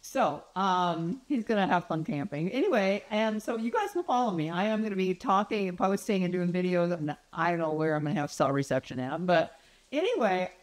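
A woman's voice: on the low side, lively intonation, fairly quick, low-quality recording. A woman in her sixties chatting casually to a phone camera in her home kitchen, friendly and rambling with fillers and little laughs. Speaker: vlogger. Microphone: phone camera at arm's length.

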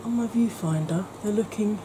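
A woman in her forties chats her way through a makeup look, step by step, sometimes going soft and breathy. Her voice sits at an ordinary middle pitch. She's recorded close to the camera at home, with a clear hiss running underneath. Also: normal speed. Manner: chatty, talks through each step, drops to soft near-whispers. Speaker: makeup vlogger. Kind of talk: chatty makeup tutorial narration. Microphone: camera close to the face.

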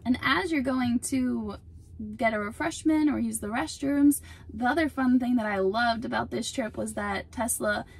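A woman's voice: fairly high pitch, normal speed, creaky, husky. A young woman chatting upbeat to a phone camera held at arm's length in a parked car. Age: young adult. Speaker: vlog co-host. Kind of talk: casual vlog talk.

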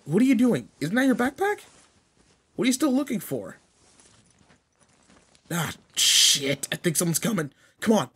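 A man playing a cocky, teasing teenage delinquent with a soft side, talking casually to the listener in a roleplay audio drama. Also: sits high, slightly rough, full character voice. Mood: urgent, bossy. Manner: brash, casual, slangy teasing. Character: cocky, teasing teenage male delinquent, protective and sweet underneath.

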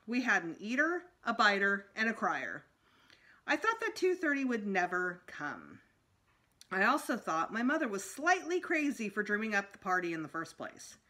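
Middle-aged female storytime reader, bright tone, lively swings in pitch. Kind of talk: expressive read-aloud of a children's book. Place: small indoor room at home.

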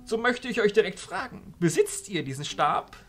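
A woman's voice: mid-range voice, big pitch swings, normal speed, a bit bright, faint music behind. A woman playing a dignified, grave male archchancellor with formal, courtly phrasing in an amateur audio drama.

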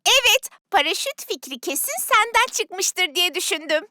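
A woman's voice: extremely high pitch, lively intonation, moderate pace, sharp tone, no room sound, clean studio sound, heavily acted. A woman voicing a bossy, scheming young animal music student in a cartoon, with a pushy, theatrical manner. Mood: coaxing.

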